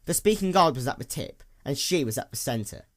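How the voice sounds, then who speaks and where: A man narrating scripted fiction as an audiobook, with a dramatic, deliberate delivery. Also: mid-range voice, animated.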